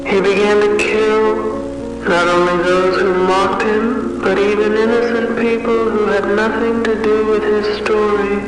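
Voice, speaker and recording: A man playing a solemn, measured storyteller recounting a grim fairy tale in analog horror style. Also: high voice, level tone. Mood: grim, ominous. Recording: cavernous long reverb, distant, music behind.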